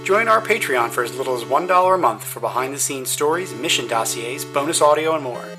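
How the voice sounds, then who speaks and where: A male announcer reads scripted credits with plucked guitar music clearly behind him. His pitch is mid-range and his delivery is formal with a playful, arch edge. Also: lively intonation, brisk. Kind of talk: scripted credits narration with tongue-in-cheek patron pitch.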